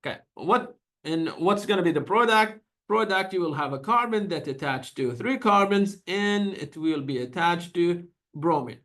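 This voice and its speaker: Persian-native male lecturer, talkative and filler-heavy, slightly higher pitch. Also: expressive, normal speed, few pauses, a bit bright. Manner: chatty, many fillers and restarts; accented English with small grammar slips. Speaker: chemistry lecturer. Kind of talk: university lecture.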